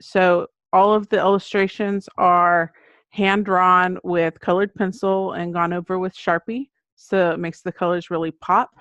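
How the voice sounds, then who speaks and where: A woman's voice: lowish voice, little pitch movement, measured pace, fairly bright tone, no room sound. An American woman speaking as an author guest in a podcast interview, explaining things in an earnest, conversational way. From United States. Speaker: guest, children's book author. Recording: muffled, dull lo-fi audio.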